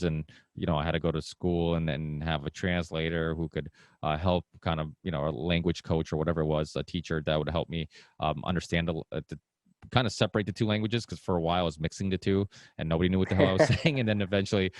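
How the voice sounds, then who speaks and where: A man's voice: sits low, steady tone, fast talker, no echo. A middle-aged man who grew up speaking Portuguese, talking as a podcast guest in a meandering, casual way that often restarts sentences. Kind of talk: casual conversation.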